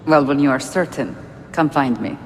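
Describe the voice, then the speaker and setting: An actor playing a wary, determined rebel fighter in a game cutscene, blunt and urgent. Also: male voice, mid-range voice, normal speed, slight background noise, lightly acted. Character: wary, hot-headed male rebel fighter, gruffly determined. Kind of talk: video game cutscene acting. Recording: long echo, music behind.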